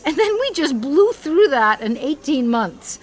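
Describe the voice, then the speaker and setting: A woman's voice: high voice, very animated, unhurried. An actress playing an earnest, self-assured mother in her sixties, in a naturalistic audio comedy. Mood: cool, wistful. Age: 60s. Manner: effusively sincere, self-assured. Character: earnest, vibrant 67-year-old mother leaving her husband.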